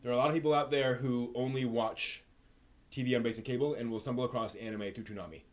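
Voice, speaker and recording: A man's voice: medium pitch, steady tone, fast talker. Guy doing an anime livestream, mid-range voice, chatting through reviews and opinions. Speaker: live stream host. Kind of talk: anime review and live discussion.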